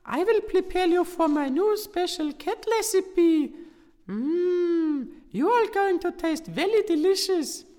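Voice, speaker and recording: A woman's voice: very high-pitched, animated, mellow, long reverb tail, voice pushed up, heavily acted. A woman voicing a little, fat, gloating male hunter who cackles and drawls. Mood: gloating villain. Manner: gloating, cackling, slow and drawn out.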